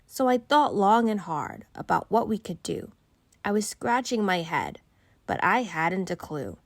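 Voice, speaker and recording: A woman reading a rhyming children's bedtime story aloud in an expressive storytelling style. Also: medium pitch, measured pace, dry recording.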